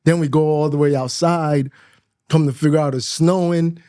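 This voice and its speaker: A man's voice: fairly high pitch, fairly even intonation, normal speed, mellow, smooth, no room sound. A man in his thirties from Nigeria, a guest in a studio interview, speaking in a reflective way, laughing often and repeating words as he thinks. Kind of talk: casual interview chat.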